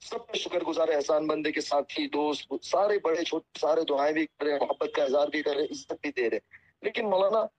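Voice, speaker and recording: Male cleric, slightly high voice, humble and apologetic, devout phrasing, earnest phone talk on a dull lo-fi recording. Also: a little fast. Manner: deferential, apologetic; pious, peppered with religious phrases. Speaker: Islamic cleric. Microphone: phone call. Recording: muffled lo-fi phone call recording.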